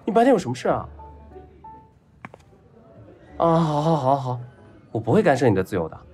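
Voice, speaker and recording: A man's voice: medium pitch, big pitch swings, normal speed, a little mellow, soft background music, natural voice. An actor playing a lovestruck, spoiled young rich man in an audio drama, clingy and naive, with an eager, whiny, childishly earnest way of talking. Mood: eager, chatty. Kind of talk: Chinese audio drama acting.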